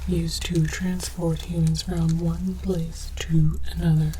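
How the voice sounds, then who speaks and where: A woman whispering breathily in a hushed, mock-scholarly ASMR roleplay as a calm, curious alien archaeologist presenting objects. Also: low voice, takes it slowly, noisy background. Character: calm, curious alien archaeologist researcher studying human relics.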